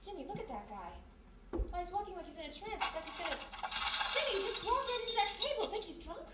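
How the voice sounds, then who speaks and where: A woman playing a quick-witted, sassy young female detective's sidekick in old radio mystery style. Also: very high-pitched. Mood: alarmed. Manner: sassy, animated, scolding banter. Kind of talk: 1940s radio detective acting. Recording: echoey live room, distant mic, noisy.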